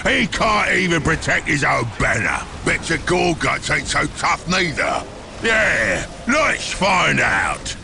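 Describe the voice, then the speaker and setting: A man voicing a dim, excitable ork underling in a video game, raspy and growling with a Cockney accent. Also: on the high side, slow, noisy background, exaggerated voice. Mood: gloating. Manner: yelling crudely. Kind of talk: video game character acting.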